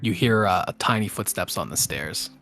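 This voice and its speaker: A man working as game master, narrating an improvised tabletop game in a halting, conversational way. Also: deep voice, expressive, fairly bright tone, faint music behind.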